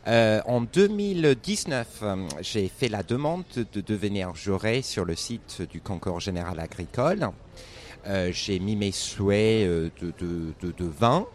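A man's voice: fairly low pitch, animated, a little slow, slight background noise. Man with a British accent, outdoors. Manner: hesitant, many restarts and fillers. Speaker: wine competition judge. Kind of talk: interview. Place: outdoors at a trade fair.

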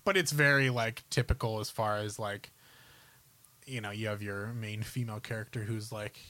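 A man's voice: fairly low pitch, steady tone, normal speed, sharp tone. A man co-hosting a podcast, chatting casually and informally in a rambling way about films and games. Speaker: podcast co-host.

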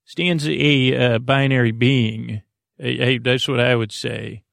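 A man with a creaky voice hosting a bedtime podcast, talking in a soothing, meandering, halting way and often breaking off mid-thought. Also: mid-range voice, steady tone, a bit bright, dry recording, clean studio sound. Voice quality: creaky, gravelly. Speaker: podcast host. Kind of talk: rambling bedtime podcast monologue.

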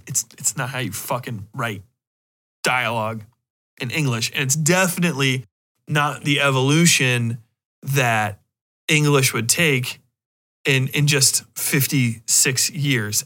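A man's voice: mid-range voice, pushed and bright. A man hosting a podcast, giving his opinions in a casual, rambling, emphatic way with plenty of swearing. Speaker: podcast host.

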